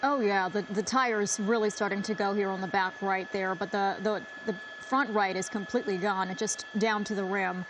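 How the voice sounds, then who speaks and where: A woman news anchor giving unscripted live commentary in halting, hesitant phrases. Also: medium pitch, steady tone, noisy background.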